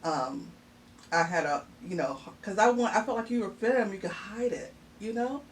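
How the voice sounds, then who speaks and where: A woman's voice: mid-range voice, normal speed. A middle-aged woman, a mother, talking casually and reflectively on a podcast, laughing often and using many fillers. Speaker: guest, mother of the host. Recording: distant mic with faint noise.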